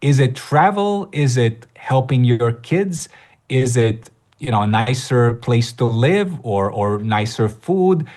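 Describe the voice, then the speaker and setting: A man's voice: medium pitch, lively intonation, slow pace, darkish tone. A middle-aged man from Latvia hosting a live online workshop, talking conversationally with frequent fillers and restarts. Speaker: workshop host. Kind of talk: live webinar presentation.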